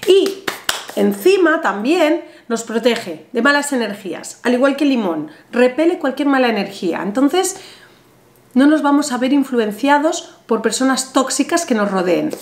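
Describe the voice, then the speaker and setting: A woman's voice: high voice, normal speed, slight background noise. Chatty Spanish woman in a roomy space. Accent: Spain Spanish. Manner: chatty, animated, talks with her hands. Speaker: ritual instructor. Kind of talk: step-by-step ritual tutorial to camera. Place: small bright room indoors, seated at table. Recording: roomy echoey room sound.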